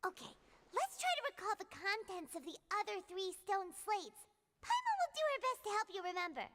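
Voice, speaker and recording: A woman voicing a small, chatty, excitable childlike fairy companion in a video game. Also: very high voice, a little fast, noisy background, heavily acted. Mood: eager. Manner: refers to self in third person.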